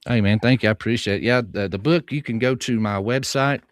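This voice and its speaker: A man's voice: mid-range voice, brisk, dry recording, studio quality. A man with a Southern American accent, a guest author explaining at length in a folksy, earnest way on a livestream. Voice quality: soothing. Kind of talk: livestream conversation.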